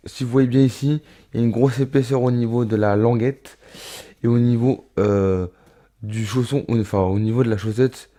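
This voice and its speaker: Casting: thirty-year-old male vlogger, warm low-key tone, rambling friendly delivery with lots of "euh". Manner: chatty, many fillers and restarts, addresses viewers as little rabbits. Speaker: roller skating vlogger. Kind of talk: casual unboxing vlog. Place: home studio.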